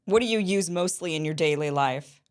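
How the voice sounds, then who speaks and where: A woman hosting an interview, asking curious, quick follow-up questions. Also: deep voice, clear, resonant tone. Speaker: host.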